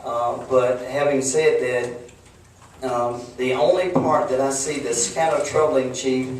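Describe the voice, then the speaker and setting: A middle-aged man, a board member, formally addressing a public meeting in a measured, plain-spoken, earnest way. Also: mid-range voice, steady tone. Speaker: board member with law enforcement background. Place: echoey public meeting room. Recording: very echoey room, distant mic, some noise.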